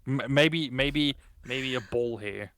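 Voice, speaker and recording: Gravelly South African man, dry close mic. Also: mid-range voice, slow pace, a bit bright, no room sound. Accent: South African English. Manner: playful teasing, argues with a chuckle. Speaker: podcast co-host. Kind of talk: casual bantering chat.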